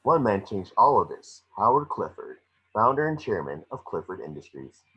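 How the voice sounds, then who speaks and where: A very deep-voiced male announcer, played by an amateur actor delivers a grand informational video script. The voice is husky and swings widely in pitch, with a documentary flourish. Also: very animated, normal speed, dull audio. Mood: grand, informative. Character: corporate narrator of an informational city welcome video. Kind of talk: scripted narration in an amateur table read.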